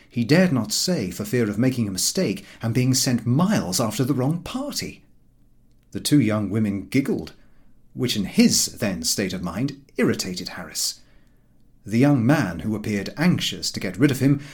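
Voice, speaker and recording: Very animated male audiobook narrator. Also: on the low side. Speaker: audiobook reader. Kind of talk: scripted narration of a comic novel.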